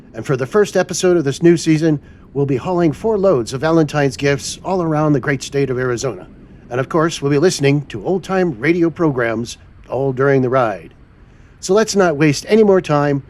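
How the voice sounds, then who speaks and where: A man's voice: fairly high pitch, darkish tone, slight background noise. A man hosting a trucking game show in a theatrical, upbeat announcer manner. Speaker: host playing a trucking game. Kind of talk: playful pulp-hero show hosting.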